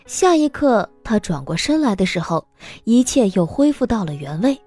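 Animated female audiobook narrator. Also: a little high, normal speed, dry recording, soft background music.

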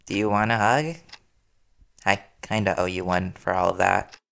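A man playing a worried, devoted young reporter boyfriend in an intimate audio roleplay, speaking earnestly and soothingly with hesitant pauses. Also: sits low, steady tone, creaky, a bit bright. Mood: gentle concern.

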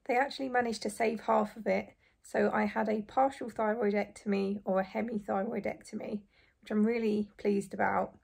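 A young woman in her twenties, hoarse and croaky after surgery, chatting casually to camera from a small bedroom. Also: mid-range voice, fairly even intonation, moderate pace, darkish tone. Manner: chatty, relieved, frequent light laughs. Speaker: vlogger. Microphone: phone or camera close in front.

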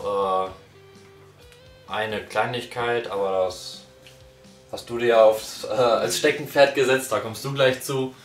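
Blunt man, roomy distant mic. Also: fairly low pitch. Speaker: reviewer. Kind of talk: casual review chat. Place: small living room, on a couch. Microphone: far camera mic.

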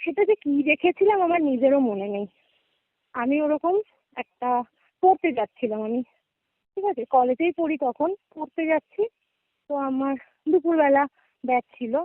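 Young female caller, West Bengal Bengali accent, high register, casual storyteller, tinny phone audio. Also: no echo. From West Bengal, India. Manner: conversational, checks in with listener often. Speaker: guest caller. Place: remote call, dry sound.

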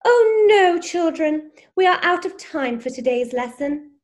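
High, swooping, prim children's TV schoolmistress played by an actress. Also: female voice, animated, unhurried. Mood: mock cheerful. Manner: sing-song, exaggeratedly jolly and condescending. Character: prim, gushing, sinister children's TV schoolteacher woman. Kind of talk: parody children's show presenting.

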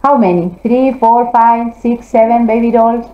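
A woman teaching English to children, encouraging them and gently correcting their answers in an interactive classroom lesson. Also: on the high side, measured pace. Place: classroom, indoors. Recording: roomy, slightly dull classroom audio.

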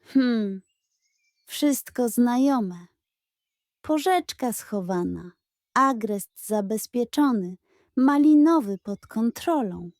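A woman voicing a cheeky, excitable little pug. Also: a little high, slow pace, dry recording, studio quality. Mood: pleased muttering. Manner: wheedling, excitable, dramatic. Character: cheeky, greedy, sweet-talking male pug dog.

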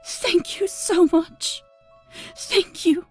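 Woman acting a very high-voiced, emotional doting mother. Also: steady tone, drawn-out words, soft background music, exaggerated voice. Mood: tearful gratitude. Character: tearful, doting mother of a lost boy.

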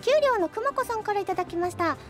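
A woman radio co-host with a very high voice. Her intonation moves up and down in a lively way as she chats casually and presents segments. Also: fast talker, over music. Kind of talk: casual chat and show presenting.

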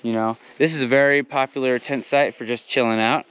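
A man vlogging casually on a hiking trip, chatting in a relaxed way with plenty of fillers, recorded outdoors at a campsite. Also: mid-range voice, brisk, clean tone, rough recording. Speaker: vlogger, thru-hiker. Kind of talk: casual vlog chat. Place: outdoors at a mountain tent site.

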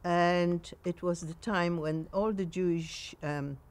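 A woman in her nineties with a Polish accent, recounting memories in a halting way in a sunny garden. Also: on the low side, fairly even intonation. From Poland. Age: 90s. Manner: halting, with frequent pauses and restarts. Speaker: interviewee.

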